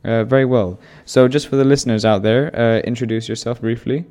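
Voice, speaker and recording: A man's voice: medium pitch, fairly even intonation, fairly quick, mellow. A teenage boy hosting a student podcast, asking questions casually with many uhs. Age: teens. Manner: friendly, filler-heavy questioning.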